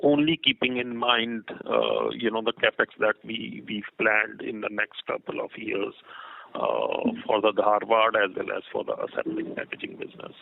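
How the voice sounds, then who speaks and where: A man with an Indian accent answers analysts as a company executive on an earnings call, in a halting, rambling way with repeated words. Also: on the low side, animated, creaky. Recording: muffled, band-limited conference phone line.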